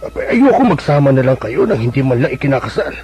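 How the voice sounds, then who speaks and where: A man's voice: medium pitch, big pitch swings, fast, a little mellow, slightly rough. An actor with a Filipino accent playing a stern, insistent middle-aged father in a radio drama. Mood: firm, insistent. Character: stern, insistent father demanding a legal wedding. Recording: dull lo-fi radio broadcast.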